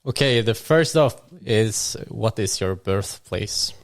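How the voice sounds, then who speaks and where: Podcast co-host asking quick-fire questions. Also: mid-range voice, animated.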